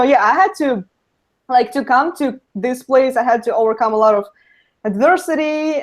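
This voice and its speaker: Brief young woman, native Russian speaker, Russian accent, upper-pitched, casual and giggly. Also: a little mellow. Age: young adult. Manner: laughs often, lots of "you know" fillers. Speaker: guest speaker. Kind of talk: casual webinar interview.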